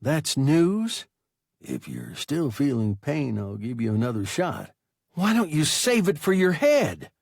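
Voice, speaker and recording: A man voicing a thin-voiced wounded young pilot, whiny, bitter, accusing, raspy. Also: huge pitch swings, slow, a little mellow, no room sound, clean studio sound, full character voice. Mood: sarcastic. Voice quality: thin, high whining voice. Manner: bitter, shrill and rising to screams.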